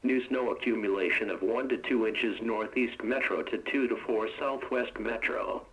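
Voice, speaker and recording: A man's synthetic voice reading a weather forecast for a weather radio broadcast in a steady, even, monotone delivery. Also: fairly low pitch, moderate pace, poor audio.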